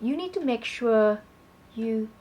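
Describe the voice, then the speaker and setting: A woman co-hosting a talk segment, speaking clearly and prompting her partner with questions. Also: on the high side, animated, slow pace, dark tone. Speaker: co-host. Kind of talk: scripted conversational presenting.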